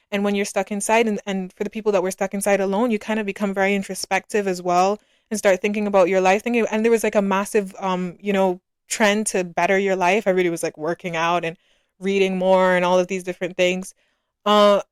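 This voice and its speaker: A woman's voice: mid-range voice, clear, resonant tone, dry recording, studio quality. A woman in her early twenties from Barbados, talking casually and reflectively as a solo podcast host, in a conversational, opinionated way.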